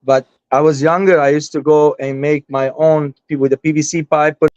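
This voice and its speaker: Chatty Armenian-Lebanese guest in his forties, middle pitch, dry dull lo-fi audio. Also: little pitch movement, normal speed, a little mellow, dry recording. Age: 40s. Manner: rambling, talkative, chatty. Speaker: guest hobbyist. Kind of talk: casual chat.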